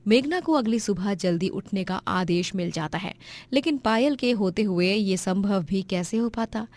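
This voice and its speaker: A woman's voice: medium pitch, expressive, normal speed, darkish tone. A woman narrating a scripted moral story.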